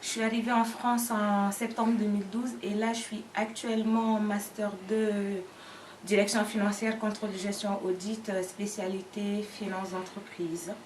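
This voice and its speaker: A woman's voice: mid-range voice, level tone, normal speed, a crowd in the background. Girl in her 20s chatting in an interview, echoey room, bit far from the mic. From Mali. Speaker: student interviewee. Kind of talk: casual interview talk. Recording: echoey room, distant mic, faint noise.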